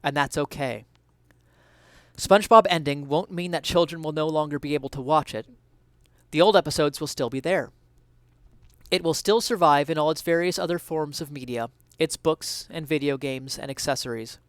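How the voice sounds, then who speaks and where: Clear-voiced man giving an earnest dramatic reading. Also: on the high side, normal speed, dry recording, clean studio sound. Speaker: reader of an essay.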